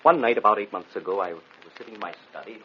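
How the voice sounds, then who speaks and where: An actor playing a proud, disgraced physician obsessed with mesmerism, orating theatrically in an old radio drama style. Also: male voice, fairly low pitch, racing, heavy background noise, close to an everyday voice. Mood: impassioned defense. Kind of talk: vintage radio drama acting. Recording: dull old radio recording.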